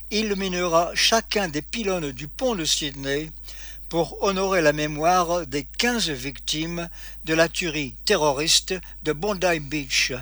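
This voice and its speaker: A man filing a scripted radio news report as a correspondent, reading slowly and deliberately. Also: a little high, bright, forceful tone, no echo.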